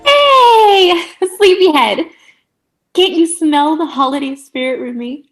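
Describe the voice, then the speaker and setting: Radio comedy: perky, teasing young roommate played by a woman, very high voice, drawn-out delivery over light music. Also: animated, exaggerated voice. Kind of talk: comic radio play acting.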